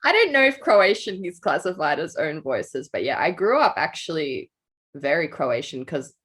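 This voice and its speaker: A woman's voice: sits low, sing-song, moderate pace, fairly bright tone, breathy edge. A young adult woman, co-hosting a writing podcast in casual chat, laughing often and telling stories with animation. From Australia. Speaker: podcast co-host.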